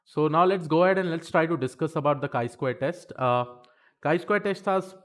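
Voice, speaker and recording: A man's voice: a little high, normal speed, a bit bright. A man teaching statistics in a live-streamed lesson, chatty and talking to the chat between points, saying okay often.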